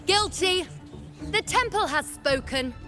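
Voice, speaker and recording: High-voiced, severe oracle priestess. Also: female voice, expressive, slow, sharp tone, soft background music, full character voice. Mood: solemn, condemning. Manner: grave, ceremonial, pronouncing judgment.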